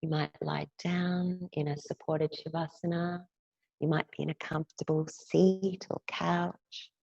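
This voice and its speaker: A woman teacher leading a guided yoga nidra meditation in a gentle, soothing, unhurried way, leaving long pauses between phrases. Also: deep voice, flat delivery, vocal fry, dry recording, muffled recording.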